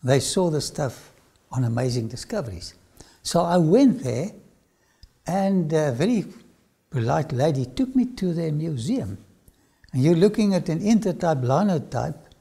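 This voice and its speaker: An older man with an Afrikaans accent, a lecturer telling his own story to camera in a studio, swinging from loud phrases to whispers. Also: a little high, lively intonation, unhurried, vocal fry, breathy edge. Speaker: archaeology lecturer. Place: studio with dark backdrop.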